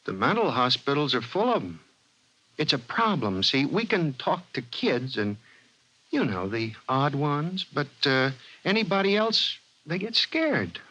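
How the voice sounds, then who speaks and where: A man's voice: on the high side, sing-song, a little slow, bright, forceful tone, exaggerated voice. A man voicing a mild, streetwise talking mouse, a matter-of-fact henpecked little husband, in 1950s radio drama style. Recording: dull vintage 1950s radio recording.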